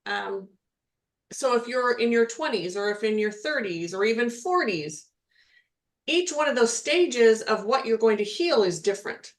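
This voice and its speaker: A middle-aged woman with a fairly high voice co-hosts a relaxed spiritual podcast. She talks in a loose, rambling way, doubling back on her words and laughing often. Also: measured pace. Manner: chatty, repeats and restarts, laughs often. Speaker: podcast co-host, spiritual healer. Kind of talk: casual chat.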